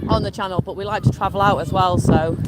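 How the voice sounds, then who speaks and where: A man's voice: high voice, fairly even intonation, fast. A man from Lancashire chatting casually with viewers on a live stream as he walks outdoors through a windy seaside town, rambling and answering the chat. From Lancashire, England. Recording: loud wind noise on the mic.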